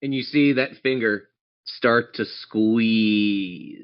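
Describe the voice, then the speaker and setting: A man's voice: on the low side, extremely slow, clean tone. Male game master, slightly muffled sound. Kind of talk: improvised tabletop game narration.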